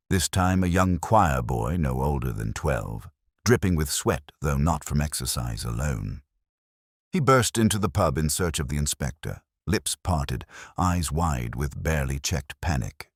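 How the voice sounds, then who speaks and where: A man's voice: extremely low pitch, breathy edge, no room sound, clean studio sound. A man narrating a scripted period mystery story in a literary, dramatic style.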